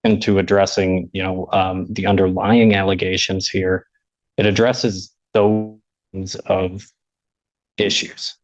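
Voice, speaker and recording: Low, even-toned, hesitant male lawyer. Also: takes it slowly, dry recording. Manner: hesitant, deferential. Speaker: defense attorney. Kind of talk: courtroom argument. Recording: dull, lo-fi courtroom audio.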